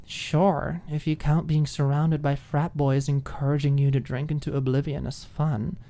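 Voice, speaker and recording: Woman as a tough female college student, low voice, blunt, teasing, smug, audiobook character. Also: little pitch movement, normal speed, mellow.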